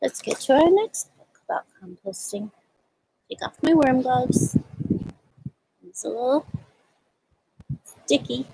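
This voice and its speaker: A woman hosting a storytime for young children, explaining and reading aloud in simple words with little questions, in a slightly husky voice. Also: high-pitched, animated, takes it slowly, long pauses, warm tone, dry recording, poor audio. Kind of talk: show-and-tell and read-aloud for young children.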